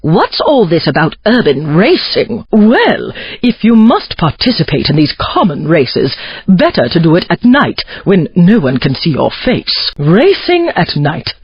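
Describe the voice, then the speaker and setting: A woman's voice: fairly low pitch, huge pitch swings, measured pace, slightly rough, dry recording, dull audio, exaggerated voice. A woman playing a snobbish upper-class English society lady who is witheringly polite, in a video game. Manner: genteel, condescending, arch. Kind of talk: scripted video game announcer lines.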